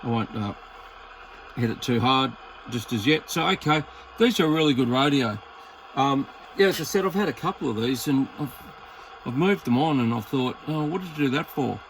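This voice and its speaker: Casting: Australian male hobbyist, creaky mid-pitched voice, informal off-the-cuff unboxing chat over faint background noise. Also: expressive, normal speed, rough recording. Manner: rambling, informal, thinking aloud with mild swearing. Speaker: hobbyist radio reviewer. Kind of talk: casual unboxing and test commentary.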